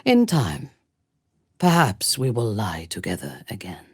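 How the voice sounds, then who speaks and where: A ruthless dark elf warrior woman from a fantasy video game, with a husky edge and slow, measured phrasing. She is proud, cold and imperious, and her delivery swings widely in pitch as she commands. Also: wildly swooping pitch, dry recording, clean studio sound, heavily acted. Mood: solemn, intense.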